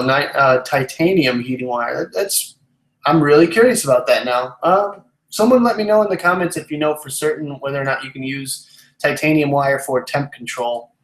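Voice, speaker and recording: A man's voice: on the high side, echoey room, poor audio. A man in his twenties hosting a live stream, chatting casually and answering viewers as he rambles and thinks aloud. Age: 20s. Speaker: live stream host, vape product reviewer.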